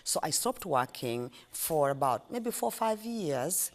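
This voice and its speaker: A woman's voice: low-pitched, sing-song, measured pace. A middle-aged woman from Uganda with a Ugandan accent, chatting as an interview guest and repeating phrases for emphasis. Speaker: interview guest, ice cream shop owner. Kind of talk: casual interview answers.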